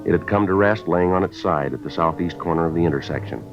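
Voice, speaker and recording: An American actor playing a terse, deadpan police detective who narrates his case in a 1950s radio drama style. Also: low-pitched, fast. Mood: grim, matter-of-fact. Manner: deadpan, matter-of-fact. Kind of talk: 1950s radio acting, clipped scripted narration. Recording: dull vintage radio recording with music.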